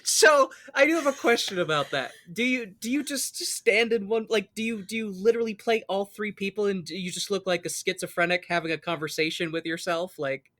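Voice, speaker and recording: A man's voice: high voice, a little fast, few pauses, sharp tone. A man hosting a podcast, chatting casually and putting questions to a guest. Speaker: podcast host. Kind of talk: casual chat.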